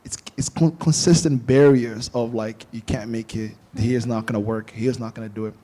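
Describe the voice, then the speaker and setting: A man's voice: medium pitch, moderate pace. A young man from Angola whose first language is Portuguese, telling stories casually on a panel podcast and acting out what others said. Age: young adult. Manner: storytelling, animated quoting of others. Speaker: podcast guest.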